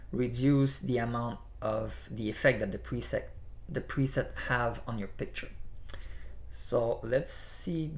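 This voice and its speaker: A man with a French accent, a native French speaker, walking viewers through photo editing presets in a tutorial, with frequent "you know" fillers. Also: medium pitch. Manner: unhurried, explains step by step with many "you know" fillers. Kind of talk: screen-recorded software tutorial.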